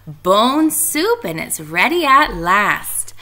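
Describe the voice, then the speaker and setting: A woman's voice: mid-range voice, sing-song, slow, sharp tone, exaggerated voice. A woman voicing a gleeful cackling witch, calling out in a children's storybook read-aloud.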